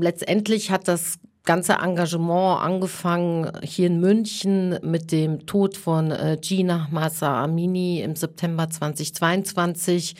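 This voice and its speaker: Lower-voiced woman in her forties. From Iran, raised in Germany. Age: 40s. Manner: earnest, with frequent hesitations. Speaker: guest, women's network chair. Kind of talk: interview.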